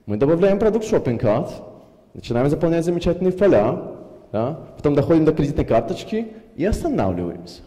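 A man's voice: a little high, quick pace. Man in his thirties with an unusual accent. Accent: mixed, from Belarus and years in Germany. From Belarus. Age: 30s. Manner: lively, gestures a lot, many fillers. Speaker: conference speaker. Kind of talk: conference talk. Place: large conference hall with audience. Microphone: headset mic. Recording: reverberant hall.

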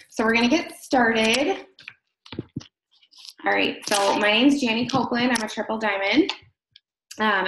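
A woman's voice: mid-range voice, a bit bright, a little hiss or hum. A woman in her thirties casually hosting an online training session over a laptop video call from a home room. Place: small home room, indoors. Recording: roomy, echoey laptop webcam sound.

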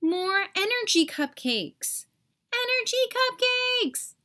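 Woman as panicky storybook germ, high voice, exclamatory, melodramatic, swooping pitch. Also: very animated, slow, bright, forceful tone, full character voice. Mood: rallying. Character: excitable, panicky cartoon germ, easily alarmed.

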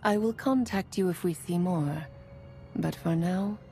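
An actress playing a solemn prophetic seer in a video game, grave and measured in manner. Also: female voice, fairly low pitch, dark tone, slight background noise. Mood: solemn, foreboding. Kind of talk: video game cutscene acting. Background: faint game music.

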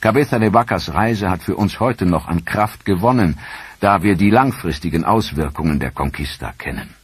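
A man giving measured, earnest scripted narration for a history documentary. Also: deep voice, slightly rough, dry recording. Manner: measured, earnest storytelling delivery.

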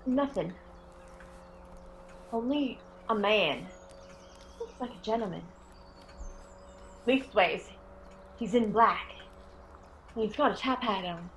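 A woman's voice: medium pitch, expressive, takes it slowly, long pauses, fairly bright tone, a little hiss or hum. A woman playing an anxious, superstitious older mother with folksy phrasing in a radio drama. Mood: anxious, watchful.

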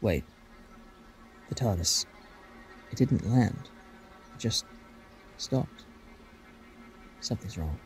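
A man's voice: on the low side, lively intonation, slow, pauses often, husky, soft background music, full character voice. A man playing an anxious, searching traveller who talks urgently to himself in a fan audio drama. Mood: alarmed, searching.

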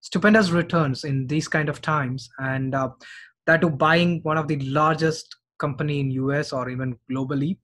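Higher-voiced man talking investing. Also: steady tone, moderate pace, a bit bright. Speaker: finance vlogger. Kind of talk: informal investment commentary.

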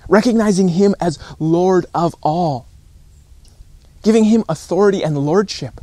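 A man in his thirties preaches informally to camera in a voice a little higher than average. He is earnest and deliberate, pausing often and repeating phrases for emphasis. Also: warm tone, dry recording. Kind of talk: informal recorded sermon to camera. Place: outdoors on a rocky shore by calm water.

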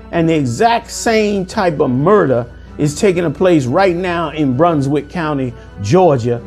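A man in his forties preaching commentary over news clips, forceful and sermonizing, calling listeners "man", recorded indoors on a lapel mic. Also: fairly high pitch. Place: indoor room.

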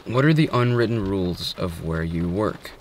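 A man's voice: low voice, expressive, a little hiss or hum. A young man answering quirky questions in a casual, joking way, filming himself with a phone in a parked car. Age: young adult. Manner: playful, joking, quick asides. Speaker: vlogger. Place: inside a parked car at night. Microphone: phone held at arm's length.

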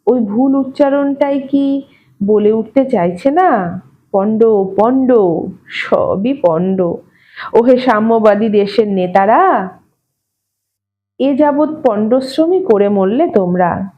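A woman reading an audio story in an expressive, measured, wry narrating manner. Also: on the high side, dull audio. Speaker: story reader.

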